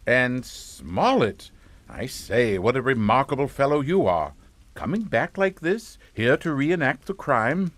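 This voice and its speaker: A man's voice: mid-range voice, very animated, a little slow, a bit bright, no echo. An actor playing a genteel, middle-aged family man in a suspense drama, pompous and formal with a falsely jovial manner. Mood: bluffing, jovial. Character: genteel English family patriarch, smoothly hypocritical and unflappable. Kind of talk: radio suspense drama acting.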